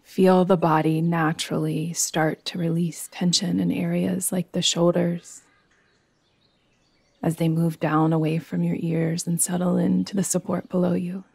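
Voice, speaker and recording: A woman guides a meditation in a soothing, unhurried manner, leaving long pauses between phrases. Her voice is lowish and stays very even, with little rise or fall. It is recorded close and dry, with no room sound. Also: gravelly, husky, no echo. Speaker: yoga nidra meditation teacher.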